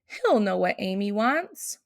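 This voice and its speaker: A woman's voice: medium pitch, sing-song, slow, vocal fry, full character voice. A woman voicing a worried, kindly old Santa in a children's storybook, urgent and exclaiming. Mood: puzzled. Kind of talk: children's storybook read-aloud.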